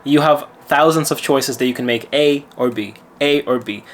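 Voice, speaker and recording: A man's voice: medium pitch, normal speed. A man from Lithuania with an Irish accent, a mindset coach telling a gym story in casual talk and then giving self-assured advice. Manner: chatty, self-assured, lecturing.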